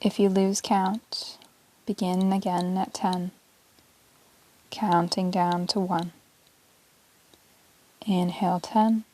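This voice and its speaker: A woman teaching yoga, guiding a relaxation meditation in a calm, measured, soothing way with long pauses between short phrases. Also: on the low side, rough recording. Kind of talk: guided yoga nidra meditation, relaxation narration.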